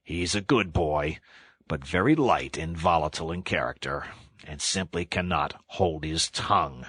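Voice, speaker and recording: Man playing a very deep, gruff storybook badger. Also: lively intonation, a bit bright, no echo, full character voice. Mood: confiding. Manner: dry, measured, authoritative. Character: gruff, dry, commanding older male badger. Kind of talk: children's storybook character voice.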